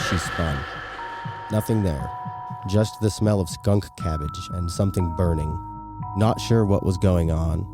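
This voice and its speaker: A man with a deep voice narrates a scripted horror tale for an audio drama. Loud music plays behind his reading throughout. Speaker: podcast producer and drama narrator.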